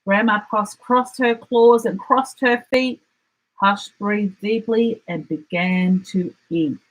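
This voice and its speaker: Husky, unhurried Australian woman storyteller. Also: mid-range voice, poor audio. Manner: expressive, deliberate dramatic emphasis. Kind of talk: children's picture book read-aloud.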